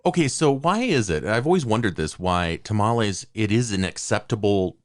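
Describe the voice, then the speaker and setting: A man's voice: on the low side, expressive, normal speed. A man co-hosting a podcast, ribbing his friends with jokey banter in casual chat. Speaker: podcast co-host. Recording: loud noise on the track.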